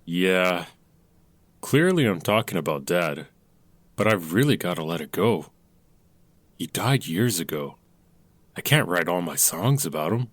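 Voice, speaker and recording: A man's voice: low voice, big pitch swings, a little slow, pauses often, no room sound, heavily acted. An actor playing a young man who doubts his own songwriting, who speaks in a self-deprecating, frustrated way and mutters to himself in an audio drama. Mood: dejected.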